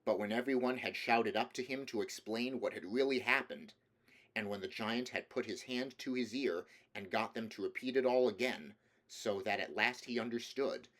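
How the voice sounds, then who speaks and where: A man's voice: lowish voice, fairly bright tone. A man reading a children's storybook aloud as scripted narration. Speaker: audiobook reader.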